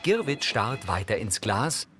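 A man's voice: on the low side, very animated, measured pace. Male audio-description narrator over music. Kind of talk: scripted descriptive narration of a TV drama.

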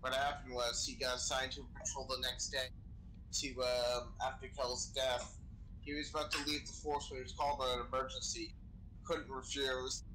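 A man's voice: medium pitch, little pitch movement, moderate pace, sharp tone. A man improvising in character over game voice chat, explaining things in a casual, sweary way. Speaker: role-play gamer playing a crew member. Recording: noisy gaming voice chat.

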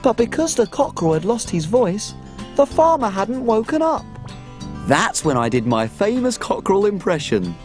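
A woman voicing a small cook who tells her farm adventure in lively, expressive storybook narration for children. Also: medium pitch, normal speed, soft background music, lightly acted. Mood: storytelling.

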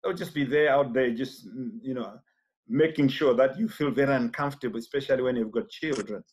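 A man's voice: medium pitch, fairly quick, slight background noise. A middle-aged male pastor on a video call, telling of past experiences and playing out the conversations in them. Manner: animated, acting out dialogue in his stories. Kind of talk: personal testimony on a video call.

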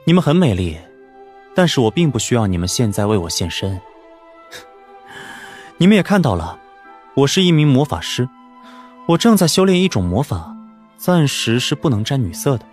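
A man's voice: mid-range voice, very animated, moderate pace, pauses often, darkish tone, soft background music, pitched above the performer's own voice, natural voice. A man voicing a wry, self-mocking young male mage in an audiobook, with a sighing, emphatic conversational manner. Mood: earnest, firm.

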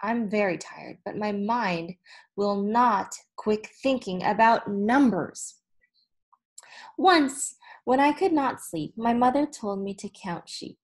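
A woman in her thirties, a teacher reading a storybook aloud to children with deliberate phrasing and expressive emphasis. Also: medium pitch. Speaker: elementary school teacher. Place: small indoor room with whiteboard.

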